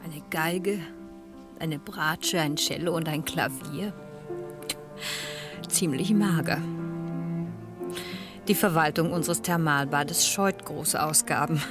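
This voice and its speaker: Low-voiced, reserved retired schoolmistress. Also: female voice, over music. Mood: dry, reserved. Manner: measured, dry, thoughtful. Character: reserved, reflective retired French schoolmistress at a spa. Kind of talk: 1970s German radio play acting.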